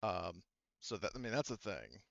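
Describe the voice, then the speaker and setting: A man running a tabletop game as game master in casual talk, explaining rules in a halting, rambling way full of asides. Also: sits low, very animated, creaky, no room sound, highs cut off.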